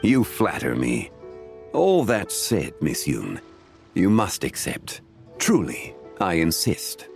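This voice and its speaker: A scholarly gentleman from a video game, with a notably deep voice. He phrases things formally and eloquently, composed yet with a wide melodic range. Soft music plays behind him. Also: huge pitch swings, takes it slowly, vocal fry, full character voice. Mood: courteous, composed.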